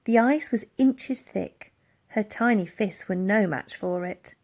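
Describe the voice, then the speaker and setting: A woman reading a children's story aloud in an expressive, dramatic storytelling style. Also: medium pitch, slow pace. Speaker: audiobook reader.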